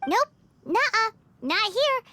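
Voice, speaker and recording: A woman voicing a tiny, excitable childlike fairy companion in a video game, perky, cheeky and exclamatory. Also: slow, slight background noise, heavily acted. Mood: chatty. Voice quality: squeaky, childlike. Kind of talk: animated video game voice acting.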